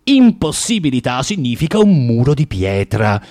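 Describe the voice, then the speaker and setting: An actor playing a bitter, tormented man of forty in a theatrical radio monologue, halting and repeating himself as he swings between muttering and outbursts. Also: mid-range voice, sing-song, darkish tone. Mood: brooding, sardonic. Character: bitter, tormented forty-year-old underground man, spiteful and self-contradicting.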